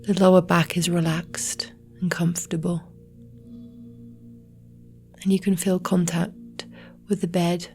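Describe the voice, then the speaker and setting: A woman guiding a relaxation meditation in a soft, breathy, near-whispered voice, slowly and soothingly with long pauses. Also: on the low side, flat delivery. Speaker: yoga nidra meditation guide. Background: meditation music with singing bowls.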